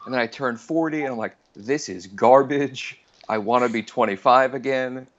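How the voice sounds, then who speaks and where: A man's voice: medium pitch, moderate pace. Forty-ish guy rambling on a podcast, pokes fun at himself, kinda muffled lo-fi sound. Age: 40s. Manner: rambling, self-deprecating. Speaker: returning podcast guest. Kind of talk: casual chat.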